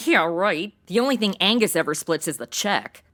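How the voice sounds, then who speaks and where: A woman's voice: lowish voice, expressive, normal speed, fairly bright tone. A woman playing a brash, self-absorbed, sarcastic young American in an audio comedy drama. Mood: snarky. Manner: sassy, snarky.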